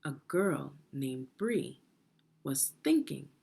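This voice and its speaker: A woman reading a children's story aloud in a deliberate, expressive teaching manner, putting questions to the listener. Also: rumbling low, gravelly, husky. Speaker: education consultant reading a children's book aloud.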